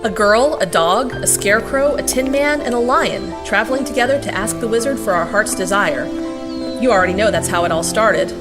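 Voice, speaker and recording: Woman as a feisty young heroine over loud music. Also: mid-range voice, a little fast, lightly acted. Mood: wry narration. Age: young adult. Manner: snappy, sardonic. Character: feisty, sarcastic young woman, reluctant adventurer. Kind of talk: audio drama acting and first-person narration.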